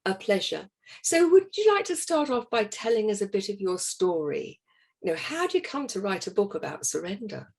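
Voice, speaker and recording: A woman's voice: medium pitch, very animated, moderate pace, darkish tone, breathy edge. A woman hosting an interview show, upbeat and welcoming as she introduces her guest. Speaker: podcast host.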